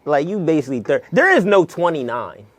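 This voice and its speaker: A man's voice: a little high, very animated, a little fast, a bit bright, no echo. A man in his late twenties hosting a podcast, talking in a laid-back, slangy way and laughing often. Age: 20s. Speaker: podcast host. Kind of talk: casual chat.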